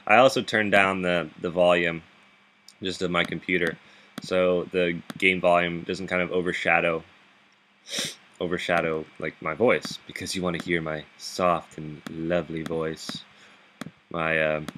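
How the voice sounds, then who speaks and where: A man with a very deep, low voice talks through his game in a loose, rambling way, full of fillers and little chuckles. His tone carries a bright, pushed edge. He speaks into a laptop microphone while sitting up in bed, with a faint hiss behind him. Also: little pitch movement, unhurried. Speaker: gaming video host. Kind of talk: casual gameplay commentary.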